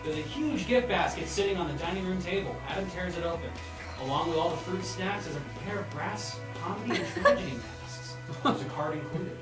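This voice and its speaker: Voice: medium pitch, a little fast. A person casually reading stage directions at a comedy table read, stumbling and adding amused asides. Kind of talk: scripted narration, read casually with improvised asides. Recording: echoey room, distant mic, loud music behind.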